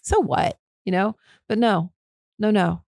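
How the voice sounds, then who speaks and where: A woman's voice: mid-range voice, a little slow, gravelly, a little mellow, no room sound, clean studio sound. A woman hosting a podcast, chatting casually, swearing now and then and acting out quoted lines in a livelier voice. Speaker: podcast host. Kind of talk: casual interview chat.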